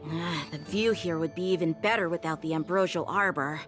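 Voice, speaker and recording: A woman's voice: fairly low pitch, fairly quick, lightly acted. A woman voicing an earnest, dutiful young male soldier in a video game, polite and direct. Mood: uneasy, disapproving. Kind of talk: English video game voice acting. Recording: loud music under the voice.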